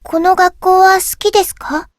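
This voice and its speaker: A shy teenage schoolgirl from a visual novel. Her voice is high and soft, with wistful, hesitant, polite phrasing. The sound is close and dry. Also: level tone, fast talker, no room sound. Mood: shy, gentle. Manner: polite, hesitant, speaking in short gentle phrases. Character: shy, wistful teenage schoolgirl, recently back from long absence. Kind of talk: Japanese visual novel voice acting.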